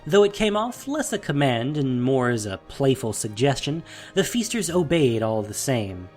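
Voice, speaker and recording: A man narrating a scripted fantasy story in a measured, dramatic way. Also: mid-range voice, sing-song, a little mellow, faint music behind.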